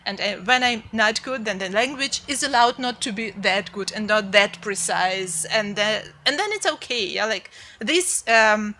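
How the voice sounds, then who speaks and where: A translator speaking English on a panel, thoughtful and halting with many fillers. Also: female voice, medium pitch, bright, forceful tone, a little hiss or hum. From Ukraine. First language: Ukrainian. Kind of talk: panel discussion.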